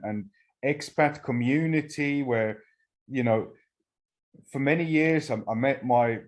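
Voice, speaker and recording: A middle-aged man from Finland, a native Swedish speaker, telling his personal recovery story at a meeting with halting pauses and restarts. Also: mid-range voice, a little mellow. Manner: halting, frequent restarts and fillers. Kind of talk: personal testimony talk.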